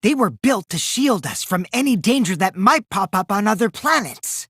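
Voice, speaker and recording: A very dry, close recording of a boyish, high-voiced teenage trickster from a video game. The delivery is theatrical and taunting, swinging widely between sing-song teasing and cold deceit. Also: male voice, very animated, normal speed, fairly bright tone, slightly rough, dry recording, heavily acted. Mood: gloating, megalomaniac. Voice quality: boyish, light. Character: mischievous, taunting teenage boy schemer, gleefully deceitful. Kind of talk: animated video game dub acting.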